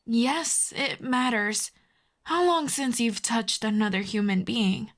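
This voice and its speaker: A woman playing a telepathic villain in an intimate roleplay audio drama, cool and commanding but grudgingly tender, with measured, dry, hushed delivery. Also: fairly high pitch. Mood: firm, reassuring.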